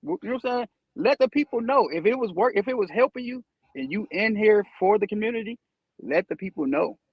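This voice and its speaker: A man's voice: high-pitched, lively intonation, fairly quick, breathy edge, no room sound, lo-fi sound. A young man guesting in a casual conversation, laid-back, repeating himself as he explains at length.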